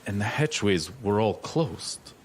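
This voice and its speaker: An actor playing a haunted, superstitious ship's mate in a gothic audio drama, intense and halting. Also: male voice, fairly low pitch, animated, a little hiss or hum. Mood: uneasy, insistent.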